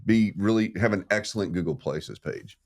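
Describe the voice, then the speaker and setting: A man's voice: on the low side, normal speed, a little mellow. A man co-hosting a podcast, putting his own view to the guest and giving earnest, emphatic advice for small business owners. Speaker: podcast co-host. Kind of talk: casual interview chat.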